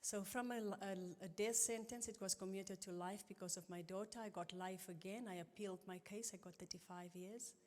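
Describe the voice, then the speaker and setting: A middle-aged woman giving an earnest, halting and emotional personal testimony at a church conference. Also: medium pitch, steady tone, a little mellow. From South Africa. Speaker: conference guest speaker. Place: church auditorium. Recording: long reverb of a large church hall.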